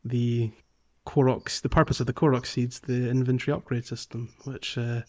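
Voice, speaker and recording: A man co-hosting a casual video game podcast, talking in an animated way and repeating phrases for emphasis. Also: medium pitch, normal speed, dull audio. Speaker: podcast co-host.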